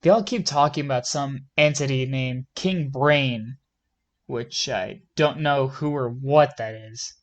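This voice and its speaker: A man's voice: medium pitch, measured pace, close to an everyday voice. A man playing a police lieutenant giving scripted interview answers in a plain, matter-of-fact way.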